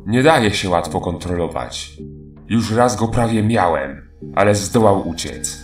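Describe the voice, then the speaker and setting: Audio horror villain: man as a scornful monster, slow and swooping, echoing over music. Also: low voice, lively intonation, distant mic. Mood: sinister, scornful. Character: menacing male monster scheming to rule over mortals.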